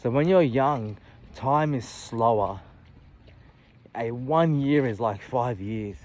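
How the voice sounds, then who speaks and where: A man in his late thirties talking candidly about his life in a reflective, halting way, often restarting and adding "you know". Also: mid-range voice, darkish tone. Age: 30s. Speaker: vlogger. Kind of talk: personal reflective monologue.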